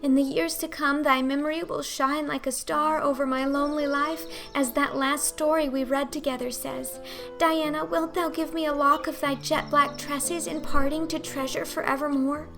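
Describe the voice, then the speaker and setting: A high-voiced, melodramatic schoolgirl, acted by a woman, speaks in flowery storybook language. She is romantic and gushing, treating every line as high drama. Music plays clearly behind her. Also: level tone, normal speed. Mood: solemn, romantic. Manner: theatrical, gushing, flowery old-fashioned phrasing.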